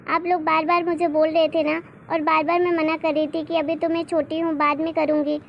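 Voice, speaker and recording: A woman's voice: very high voice, slight background noise. A woman voicing a determined, devoted young daughter in a cartoon moral story, speaking earnestly and explaining herself.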